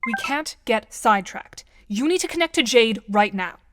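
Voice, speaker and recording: A woman's voice: fairly high pitch, expressive, normal speed, over music, close to an everyday voice. A woman playing a composed, bossy, articulate teenage girl in an audio drama, formal and matter-of-fact. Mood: urgent, brisk.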